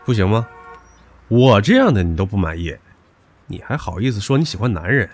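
Man acting a brash, husky-edged young suitor, wildly animated. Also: on the low side, fairly quick, no room sound. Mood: taunting, demanding. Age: young adult. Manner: cocky, crude, pushy. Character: stubborn, brash, possessive young male suitor. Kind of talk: modern audio drama acting.

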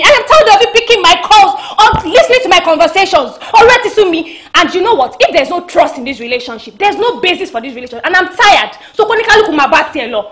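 A fed-up young student. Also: female voice, very high-pitched, fairly bright tone. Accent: Nigerian. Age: young adult. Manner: exasperated, confronting. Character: fed-up young woman, a student, sharp-tongued.

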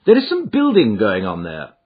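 Man playing brisk, commanding detective, husky, thin audio. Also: lowish voice, wildly swooping pitch, exaggerated voice. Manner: incisive, precise, authoritative. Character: brisk, shrewd, commanding Victorian gentleman detective. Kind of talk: audiobook character dialogue. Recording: muffled, thin phone-like audio.

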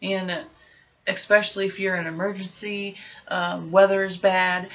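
A woman's voice: mid-range voice, flat delivery, normal speed, fairly bright tone, dull audio. A young adult woman giving a casual, informal product review, thinking aloud as she goes in a small room at home. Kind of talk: casual unboxing review chat.

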